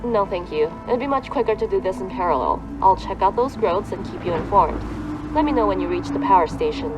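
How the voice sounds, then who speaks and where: A woman's voice: mid-range voice, animated, brisk, music in the background, natural voice, low-quality recording. A woman acting as a composed Korean soldier in a video game, speaking in a clipped, businesslike, formal manner. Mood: businesslike, firm. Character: composed young female Korean soldier in a zombie apocalypse.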